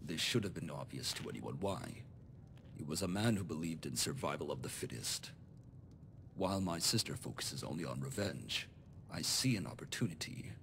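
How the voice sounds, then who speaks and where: Scheming illegitimate lord for fantasy game, male actor: very low, gravelly, formal and composed, faint rumble behind. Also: animated, normal speed, fairly bright tone, heavily acted. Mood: cool, resolute. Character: scheming illegitimate nobleman, calculating, resentful, self-made. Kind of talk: scripted video game dialogue.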